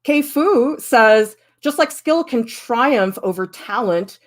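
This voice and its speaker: A middle-aged woman art professor giving a candid, lively livestream critique and answering viewers' questions. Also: on the high side, slow pace. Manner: candid, lively, emphatic, with frequent "I mean".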